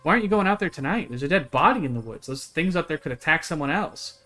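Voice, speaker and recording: A shaken, headstrong young woman from a horror game, read by a man. She sounds urgent and questioning, bright in tone, with music behind. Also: fairly high pitch, animated, quick pace. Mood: indignant.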